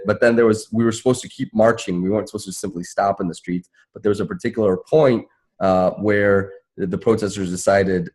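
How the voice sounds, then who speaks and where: A man's voice: sits low, a little mellow. A man, a lawyer on a panel, explaining legal points in a conversational way. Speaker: panelist, civil rights lawyer.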